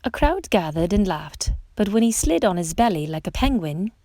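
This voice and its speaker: A woman reads a children's story aloud in an expressive storytime style. Her pitch moves a great deal, with dramatic emphasis on exclamations and questions. The recording is dry, with hardly any room sound around her voice. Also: moderate pace, no room sound. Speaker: storytime reader. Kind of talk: read-aloud children's picture book narration.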